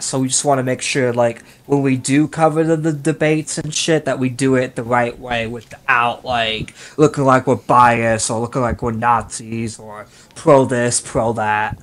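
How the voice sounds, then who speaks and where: Male co-host, mid-pitched, informal and blunt, loose repetitive phrasing with plenty of swearing. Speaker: podcast co-host. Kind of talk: casual chat. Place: online group voice call.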